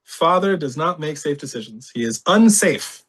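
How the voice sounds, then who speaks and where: Animated man telling a candid story. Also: fairly high pitch, normal speed, slightly rough. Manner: animated, laughs often, reenacts voices. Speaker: podcast guest.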